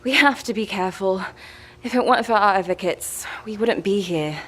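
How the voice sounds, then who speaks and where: Woman acting secret lover, wry, intimate, cautious, mid-pitched, flirtatious. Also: normal speed, fairly bright tone, lightly acted, low-quality recording.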